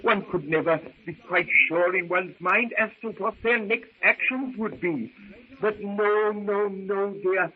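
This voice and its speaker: An actor playing a fussy, absent-minded elderly professor with hesitant, repetitive, pompous speech in an old-time radio drama. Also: male voice, high-pitched, measured pace. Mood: dismayed. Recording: tinny, hissy vintage radio recording.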